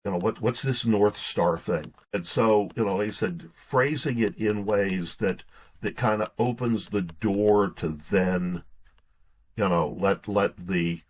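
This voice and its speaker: Guy with a lowish raspy voice, author guest on an interview, talks casual and restarts a lot. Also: takes it slowly. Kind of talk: conversational interview answers.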